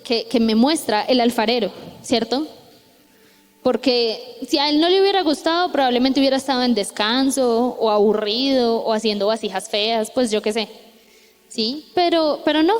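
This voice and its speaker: A young Colombian woman with a Colombian accent, preaching a chatty youth sermon with stories, often checking in with tag questions. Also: a little high. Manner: chatty, frequent tag questions, lively retelling. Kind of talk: conversational sermon with storytelling. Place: church hall. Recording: echoey church hall.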